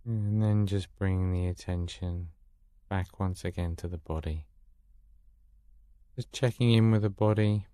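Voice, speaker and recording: A man guiding a meditation in a calm, soothing manner with long pauses, recorded close in a dry space. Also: deep voice, clean tone, no echo. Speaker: meditation guide. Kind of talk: guided meditation.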